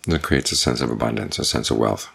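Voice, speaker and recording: A man, a Buddhist monk, giving a measured, deliberate dharma talk. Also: a bass voice, gravelly, fairly bright tone, husky.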